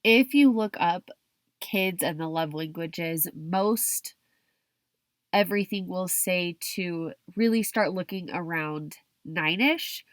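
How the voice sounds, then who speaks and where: A young woman with a low voice hosts her podcast, stuffy and hoarse from a cold. She talks in a chatty, rambling way, often restarting and hedging. The sound is dry and close, with no room around it. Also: slow pace, no echo. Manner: chatty, restarts and hedges with kind of. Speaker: podcast host. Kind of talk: solo podcast talk.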